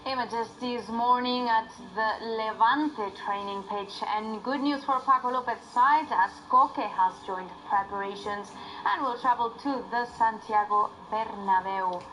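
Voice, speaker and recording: A woman's voice: on the high side, a little slow, fairly bright tone, slight background noise, rough recording. A woman presenting scripted sports news as an anchor.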